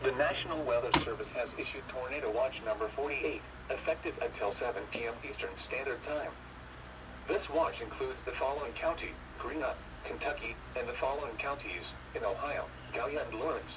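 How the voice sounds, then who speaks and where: A male announcer reads a formal alert bulletin through a weather radio speaker. The voice sits at a medium pitch, heard from some distance with clear noise around it. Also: a little fast. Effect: weather radio broadcast.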